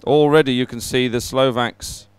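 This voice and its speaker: Male live sports commentator, very clean smooth voice, mid-pitched, informal and encouraging. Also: steady tone, moderate pace, no room sound.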